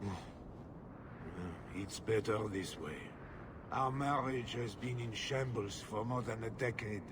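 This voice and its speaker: An actor playing a somber, embittered husband in a video game, speaking in a subdued, halting way. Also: male voice, fairly low pitch, noisy background. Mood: resigned. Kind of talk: video game dialogue acting. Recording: loud music behind the voice.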